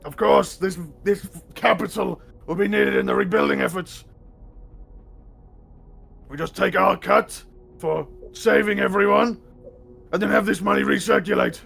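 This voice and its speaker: A man puts on a stern fantasy lord for a tabletop game, with heavy creak and a raspy edge. He swings widely in pitch as he pompously proclaims orders and expects obedience. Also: big pitch swings, a little slow, long pauses, fairly bright tone, exaggerated voice. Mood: self-assured. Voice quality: gravelly, rough. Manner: pompous, declamatory, commanding. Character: stern, pompous lord commanding his underlings.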